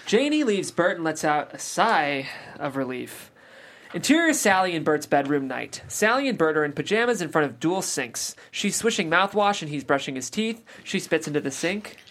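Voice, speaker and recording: A woman reading stage directions in a measured, matter-of-fact way at a table read. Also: deep voice, big pitch swings, fairly bright tone. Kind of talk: scripted narration of stage directions.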